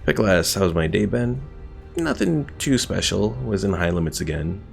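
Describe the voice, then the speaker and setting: Male streamer, low register with vocal fry, casual rambling game commentary to chat. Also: sing-song, moderate pace. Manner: rambling, thinking aloud. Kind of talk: casual gameplay commentary while chatting with viewers. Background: game music.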